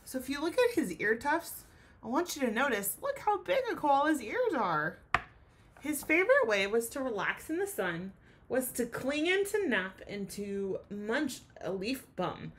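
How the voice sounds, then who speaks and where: A woman's voice: sits high, big pitch swings, unhurried, a bit bright, slightly rough. A woman teacher giving a children's read-aloud lesson, speaking expressively and explaining things in simple terms.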